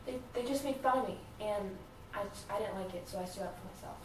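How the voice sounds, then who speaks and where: Distant and echoey, with clear noise, comes a defensive schoolgirl, acted by a woman. She sounds sullen and hurt, giving clipped, reluctant answers in a role-played therapy session. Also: medium pitch, fast talker, close to an everyday voice. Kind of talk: improvised therapy role-play.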